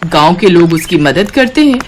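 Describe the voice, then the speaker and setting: A woman narrating a scripted devotional moral story in an expressive storytelling style, with music behind her. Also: lowish voice, normal speed.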